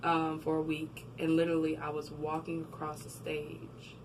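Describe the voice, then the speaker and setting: A young woman from New Jersey, a guest in a casual interview, telling her story with animated quoting and frequent laughter. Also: deep voice, moderate pace. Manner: animated storytelling, laughs often, acts out quotes. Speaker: guest, record label marketing admin. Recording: distant mic with background noise.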